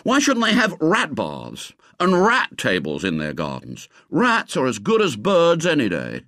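A man's voice: fairly high pitch, wildly swooping pitch, unhurried, sharp tone, no echo, studio quality, pitched above the performer's own voice, heavily acted. A man voicing a headstrong, indignant English schoolboy in a storybook, with an emphatic delivery. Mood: indignant, eloquent.

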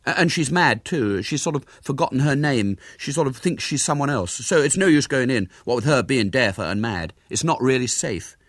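A man's voice: mid-range voice, expressive, moderate pace, few pauses, no room sound, studio quality, pitched above the performer's own voice, lightly acted. Man acting a hesitant, bluffing young boy. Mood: desperate, evasive. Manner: hesitant, stammering, evasive. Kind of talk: storybook character voice.

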